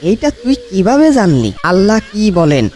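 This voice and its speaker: Guy putting on a preachy cartoon villager, high pitched, nosy questions and indignant telling-off. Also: sing-song, moderate pace, a little mellow, a little hiss or hum. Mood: shocked, scolding. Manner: questioning, exclaiming, scolding. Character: indignant, pious villager scolding a thief. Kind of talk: comic cartoon dialogue.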